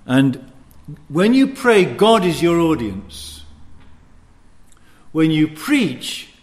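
A man preaching in a teaching sermon, speaking in deliberate, emphatic short phrases with pauses between them. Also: fairly high pitch, sing-song. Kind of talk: sermon teaching with scripture and anecdotes.

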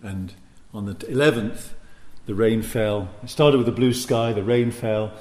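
A man preaching in a teaching sermon, speaking in deliberate, emphatic short phrases with pauses between them. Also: fairly low pitch, a little mellow. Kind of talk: sermon teaching with scripture and anecdotes.

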